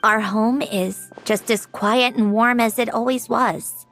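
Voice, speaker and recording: Proud, creaky-voiced young woman, slowly spoken. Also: fairly high pitch, fairly even intonation, a bit bright, soft background music. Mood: somber, tender. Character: proud young woman, reserved, grieving, secretly tender. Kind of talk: video game story acting.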